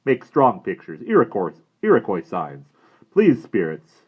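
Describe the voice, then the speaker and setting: A proud, stern little warrior snaps out clipped, broken commands, as a man puts him on. The voice is pushed up high and loud above the reader's deep register. The recording sounds slightly dull and muffled. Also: huge pitch swings, measured pace, husky, full character voice. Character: tiny stern proud male warrior figure.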